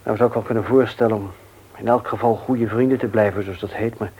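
Husky, halting, earnest suitor. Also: male voice, on the low side, steady tone, a little mellow, rough recording. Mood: resigned, sad. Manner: halting, hesitant. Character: earnest, disappointed suitor, resigned and proud. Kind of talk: intimate radio drama dialogue.

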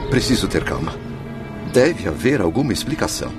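Espírito de homem angustiado, voz oscilante. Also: male voice, on the low side, big pitch swings, brisk, loud music behind the voice. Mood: confused, anguished. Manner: pleading, theatrical, desperate outbursts. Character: anguished, bewildered male spirit of a suicide. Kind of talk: radio drama acting.

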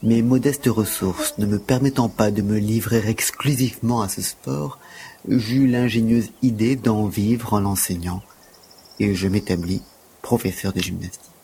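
A man's voice: fairly low pitch, fairly even intonation, measured pace, mellow, slight background noise. A man playing a humble, deferential gymnastics teacher in an audio drama, speaking in earnest, wordy, formal phrases.

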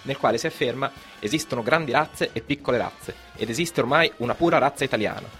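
A man's voice: medium pitch, fast, a bit bright, faint music behind. A young man from Italy co-hosting a history radio segment, reading scripted commentary in a rhetorical manner. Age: young adult. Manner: rhetorical, asks questions.

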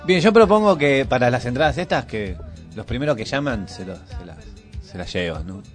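A man co-hosting a radio show with halting, informal chat. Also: mid-range voice, lively intonation, faint music behind. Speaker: radio co-host. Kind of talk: casual live radio chat. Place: radio studio.

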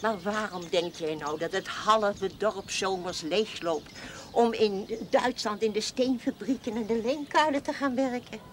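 An actress playing a chatty, plain-spoken old spinster smallholder in a television period drama, folksy and wry. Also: female voice, mid-range voice, unhurried, close to an everyday voice. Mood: earnest, wry. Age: older. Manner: folksy, blunt, wry, talkative. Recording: noisy old TV soundtrack with farmyard birds.

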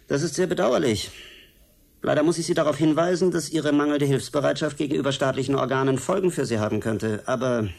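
A gravelly, menacing state security agent. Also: male voice, fairly high pitch, a little fast, darkish tone. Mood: smug, needling. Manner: mock-polite, condescending, needling. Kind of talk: German radio drama acting.

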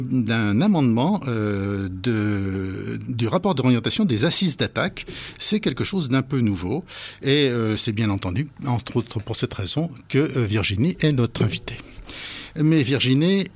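A man's voice: medium pitch, slightly rough. A man hosting a community radio show, playful and jokey as he introduces guests.

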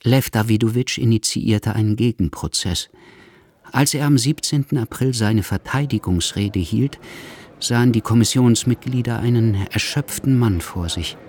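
A man's voice: medium pitch, normal speed, a little mellow, lightly acted. A man reading scripted literary narration for a German radio drama. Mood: measured narration. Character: literary narrator of an exiled revolutionary's life.

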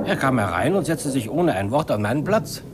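A male actor playing a long-serving bookkeeper in a 1950s German radio drama, measured, formal and quietly resentful, on a noisy old recording. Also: medium pitch, very animated, over music, natural voice.

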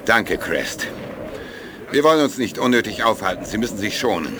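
An actor in a 1980s German radio play playing an earnest, anxious male doctor, speaking gravely and with urgency. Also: on the low side, lively intonation, moderate pace, background noise, natural voice. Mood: earnest, brisk.

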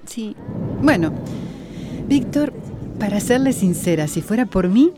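A woman's voice: medium pitch, lively intonation, measured pace, noisy background, close to an everyday voice. A woman playing a young, worried and candid expectant mother in a radio drama, sighing and confiding. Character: worried, candid pregnant woman, kindly community member.

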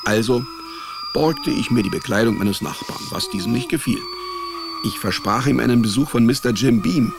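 Actor as gravelly, deadpan male private eye. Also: mid-range voice, normal speed, background noise, lightly acted. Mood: dry, sardonic. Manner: laconic, deadpan. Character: wry, down-on-his-luck hard-boiled male private detective. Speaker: narrator and lead character. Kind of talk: first-person noir radio drama narration.

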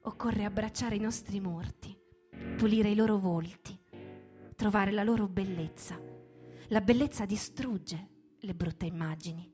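A woman's voice: mid-range voice, unhurried, warm tone. Solemn woman reading dramatically over music. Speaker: stage performer and narrator. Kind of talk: dramatic literary reading, theatrical monologue. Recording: music bed under the voice. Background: guitar music.

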